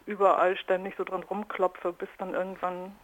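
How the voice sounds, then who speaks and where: A woman's voice: fairly low pitch, completely flat delivery, moderate pace, dull audio. A woman in conversational interview talk, explaining her own work as a sound artist.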